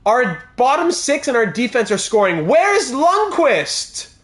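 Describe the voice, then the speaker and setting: A man's voice: very high voice, slow. A young man streaming a hockey video game, chatting with viewers and calling the plays as they happen. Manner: chatty, reads chat aloud, excited calls of game plays. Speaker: gaming streamer playing a hockey franchise mode. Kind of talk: live-stream commentary over gameplay.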